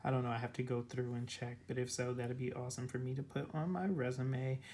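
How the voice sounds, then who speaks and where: A young adult vlogger with a raspy, cracking voice, casually walking through an app in a rambling way, full of ums and likes. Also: mid-range voice, level tone, a little fast, a little mellow, slight background noise. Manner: rambling, filler-heavy, with many ums and likes. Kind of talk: casual screen walkthrough.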